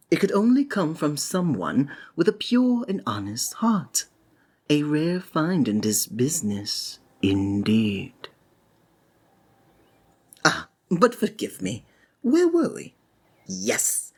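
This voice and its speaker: A woman playing a flamboyant, courtly and sinister stage magician in a theatrical ASMR roleplay, with flowery, drawn-out, flattering phrasing. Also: very deep voice, slightly rough, full character voice. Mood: excited, effusive, then gracious, confiding.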